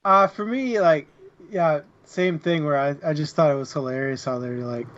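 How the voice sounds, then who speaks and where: A man's voice: a little high, moderate pace, a bit bright. A man co-hosting a casual book discussion, heard on slightly dull, lo-fi audio. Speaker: book club show co-host.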